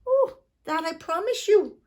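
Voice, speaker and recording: A woman's voice: very high-pitched, expressive, a little slow, voice pushed up. A woman voicing a tiny old male leprechaun, crotchety and sly, with a scolding, folksy way of talking for a children's storybook. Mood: sly, polite. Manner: sharp, scolding, wheedling folksy phrasing.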